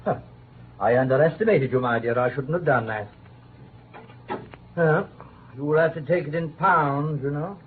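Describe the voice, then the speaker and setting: An actor playing a suave, patronising gentleman swindler, mockingly polite, in an old radio drama. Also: a little high, moderate pace, pauses often, natural voice. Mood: wary. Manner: urbane, drawling endearments, mockingly polite. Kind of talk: 1940s-50s radio drama acting. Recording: tinny, noisy old radio recording.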